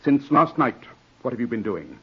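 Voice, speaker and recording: An actor playing a composed, authoritative gentleman in a 1940s radio drama, husky-voiced, measured and questioning. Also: medium pitch, very animated. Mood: grave, controlled. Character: composed, commanding English gentleman, brisk and probing. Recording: muffled vintage radio recording.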